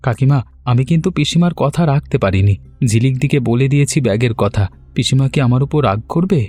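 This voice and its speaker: A man's voice: medium pitch, animated, fast, darkish tone, natural voice. A man playing a kind, simple village fellow in a cartoon story, earnest and guileless in his talk. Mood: sheepish. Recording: music under the voice.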